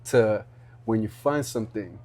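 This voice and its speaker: A young man from Rwanda hosting a podcast, talking in an earnest, halting way with many restarts. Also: fairly low pitch, a little mellow. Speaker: podcast host. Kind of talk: casual interview chat.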